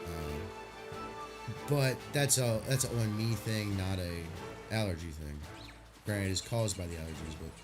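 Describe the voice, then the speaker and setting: A man streaming a game, chatting casually and rambling, stuffy from a sinus headache. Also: low-pitched. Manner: rambling, laid-back, swears casually.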